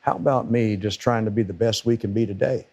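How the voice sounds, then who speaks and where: A man's voice: lowish voice, steady tone, fairly quick, a little mellow, lo-fi sound. A middle-aged man with a powerful voice, a football defensive coordinator talking casually on a podcast and stressing points by repeating words.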